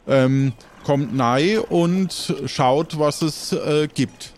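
A man's voice: a little high, extremely slow, darkish tone, slight background noise. A man playing a friendly, simple-hearted harbour local with a hesitant, folksy manner in an improvised audio play. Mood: welcoming, hesitant.

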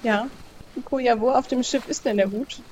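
Woman playing a talk rpg, higher voice, asking stuff carefully, bit of noise. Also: fairly even intonation, moderate pace, a little mellow, low-quality recording. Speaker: player, podcast listener guest. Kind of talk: casual role-play game talk.

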